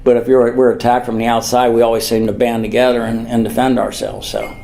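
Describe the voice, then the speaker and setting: A man's voice: medium pitch, flat delivery, fairly quick, creaky, a little mellow. An older man from the United States giving an informal public speech as a mayor, rambling and earnest, repeating phrases for emphasis. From Norwood, United States.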